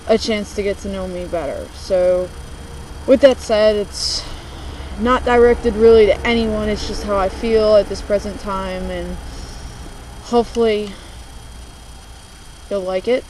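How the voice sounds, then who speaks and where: Female vlogger, about 20, American accent. Unhurried, halting, confessional delivery on a slightly noisy laptop recording. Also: medium pitch, a little mellow. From United States, born in New Jersey, moved to Virginia. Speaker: video blogger. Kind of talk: confessional casual vlog talk. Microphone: laptop microphone.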